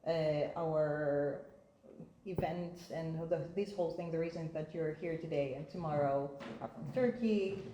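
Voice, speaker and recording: A woman's voice: low voice, a little slow, warm tone, dull audio. Echoey woman moderating a panel. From Greece. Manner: hesitant, laughing, many fillers. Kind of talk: live panel discussion. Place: conference room. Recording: echoey room, faint noise.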